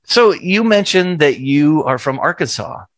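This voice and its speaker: A man's voice: on the high side, lively intonation, a little slow, no room sound. A man hosting an interview podcast, chatting in a friendly, conversational way. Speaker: podcast host. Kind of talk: casual interview.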